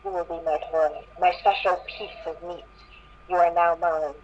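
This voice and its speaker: A woman's voice: lowish voice, fairly even intonation, normal speed, darkish tone, highs cut off, a little hiss or hum, close to an everyday voice. Woman reading as a taunting, domineering pony villainess. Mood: gloating. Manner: taunting, commanding.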